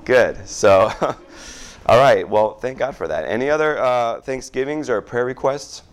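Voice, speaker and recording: A man's voice: medium pitch, a little slow, clean tone. A male pastor leading a casual prayer-request time before a Sunday service, chatting with his congregation. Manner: chatty, warm asides with repeated "good, good".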